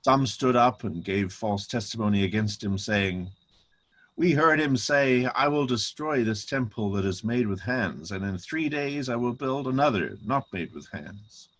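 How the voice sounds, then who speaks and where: A man's voice: medium pitch, lively intonation, unhurried, fairly bright tone. A man narrating a dramatic reading of scripture.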